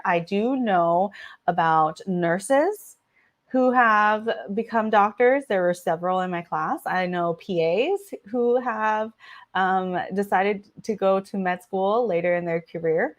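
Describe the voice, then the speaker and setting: A young woman physician from the United States whose first language is Vietnamese, giving chatty, casual advice with lots of filler words. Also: medium pitch. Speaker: physician, channel host. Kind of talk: casual advice talk to camera.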